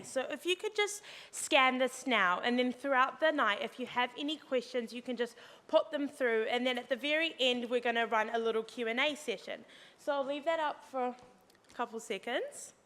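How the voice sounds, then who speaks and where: A woman's voice: a little high, moderate pace, a bit bright, long reverb tail. A young New Zealand woman, a student, presenting to an audience in an upbeat, encouraging way. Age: young adult.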